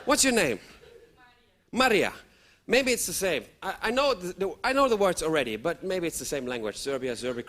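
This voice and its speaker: A man, performing stand-up comedy for a live audience with lively outbursts. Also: fairly high pitch. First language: German. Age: 30s. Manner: animated, with sudden loud outbursts and put-on voices. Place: stage before a live audience.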